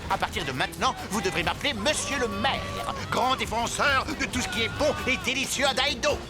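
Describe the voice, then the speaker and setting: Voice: medium pitch, lively intonation, a little fast, close to an everyday voice. An actor in a French cartoon dub voicing a gluttonous, childish, self-satisfied and dim-witted villain who has become mayor. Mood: pompous, delighted. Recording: music bed behind voice.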